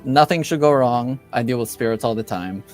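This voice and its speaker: A man voicing a calm, assured male mage in a tabletop game, measured and reassuring as he explains things. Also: medium pitch, faint music behind, natural voice.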